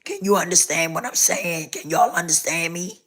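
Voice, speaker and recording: A woman hosting a casual live stream, hoarse with a rough, scratchy voice, repeating herself as she talks straight to her viewers. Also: on the low side, moderate pace, fairly bright tone.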